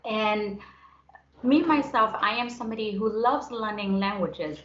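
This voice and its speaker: A woman's voice: medium pitch, unhurried, fairly bright tone, muffled recording. Young Vietnamese woman, roomy video call. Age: young adult. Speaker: guest speaker. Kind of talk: conversational motivational talk. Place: indoors on a group video call.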